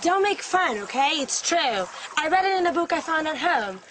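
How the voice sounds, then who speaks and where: A woman's voice: sits high, big pitch swings, moderate pace, a bit bright, a little hiss or hum, low-quality recording. An actress playing an eager, earnest young woman in a scripted language-learning drama. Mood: excited, earnest. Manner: earnest, insistent. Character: eager young woman keen on ghost hunting.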